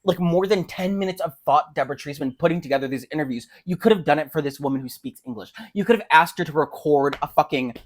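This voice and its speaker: A woman's voice: low voice, fast, hardly any pauses. A woman chatting casually and opinionatedly as a podcast co-host, snarky, irreverent, emphatic and free with swearing.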